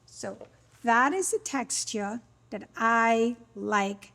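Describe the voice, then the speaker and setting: High-voiced Trinidadian woman teaching cooking. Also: takes it slowly, gravelly, fairly bright tone. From Trinidad and Tobago. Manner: explains step by step, informal. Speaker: cooking demonstrator, small catering business owner. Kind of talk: conversational cooking tutorial.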